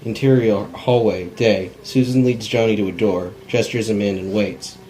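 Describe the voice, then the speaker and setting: A man with a fairly low voice narrates a script's stage directions at a table read. It is an amateur recording with faint noise behind him. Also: steady tone, moderate pace, dark tone, low-quality recording. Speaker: screenwriter reading stage directions.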